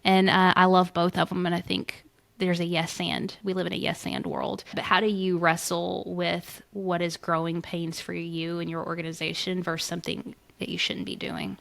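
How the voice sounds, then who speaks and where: A woman's voice: lowish voice, flat delivery, moderate pace, creaky, fairly bright tone. A woman hosting a podcast interview in a casual, effusive, informal way. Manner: effusive, informal, peppered with slang.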